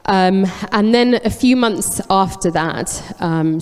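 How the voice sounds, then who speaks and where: Low-voiced woman giving a church testimony. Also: darkish tone, clean tone. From England. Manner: candid, reflective, with frequent ums. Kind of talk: personal faith testimony on stage. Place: church hall. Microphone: handheld mic, cutting in and out. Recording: echoey church hall with long reverb.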